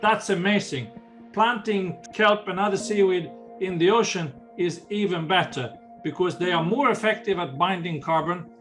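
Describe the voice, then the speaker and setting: A man's voice: sits high, normal speed, fairly bright tone, faint music behind. An older man with a Swedish accent, earnestly explaining ocean conservation in an interview and often restarting phrases. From Sweden. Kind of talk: interview answers.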